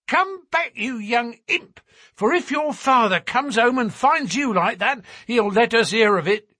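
Stout boatman's wife, played by a man: high, swooping delivery, garrulous and fretful, colloquial and deferential, for an audiobook. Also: very animated, a little slow, a bit bright, breathy edge, no echo, clean studio sound, exaggerated voice. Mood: scolding. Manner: chatty and colloquial, deferential with frequent "sir". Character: working-class boatman's wife, stout, scolding, anxious, garrulous. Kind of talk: audiobook character voice.

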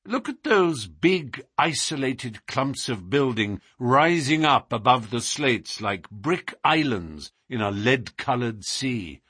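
A man voicing a brilliant, self-assured gentleman detective from a storybook, musing in a precise, declamatory and philosophical way. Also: mid-range voice, big pitch swings, slow, hardly any pauses, slightly rough, no room sound, studio quality, full character voice. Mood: animated.

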